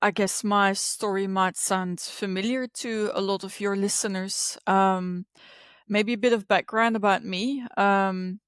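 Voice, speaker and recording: A woman's voice: medium pitch, steady tone, measured pace, smooth, no echo. Woman from Belgium, forties, podcast guest, reflective, hesitant restarts, dry close sound. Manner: thoughtful, with many ums and restarts. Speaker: podcast guest, psychologist and coach. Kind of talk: conversational interview.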